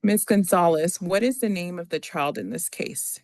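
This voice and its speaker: An attorney speaking formally in a courtroom, reading out requests and questioning a witness in a halting, procedural way. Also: mid-range voice, darkish tone, dry recording, clean studio sound.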